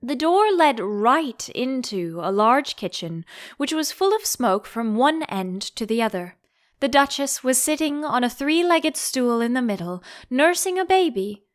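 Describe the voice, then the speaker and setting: A woman reading a children's storybook aloud as an expressive audiobook narrator. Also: high-pitched, unhurried.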